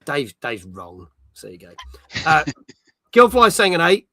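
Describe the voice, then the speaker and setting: A man's voice: fairly high pitch, wildly swooping pitch, unhurried, pushed and bright, dry recording. A British man in his forties hosting a gaming live stream, chatting casually and reading out viewer comments. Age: 40s.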